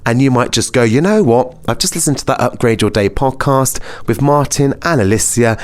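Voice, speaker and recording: A man's voice: mid-range voice, fairly quick. A man with a British accent hosting a wellness podcast, talking in a casual, chatty, rambling and informal way. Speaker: podcast host.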